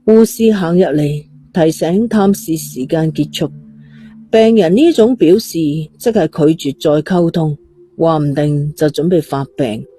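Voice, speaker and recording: A woman's voice: low-pitched, measured pace, dark tone. A woman with a Cantonese accent reading a suspense novel as a scripted, dramatic storyteller. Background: faint music.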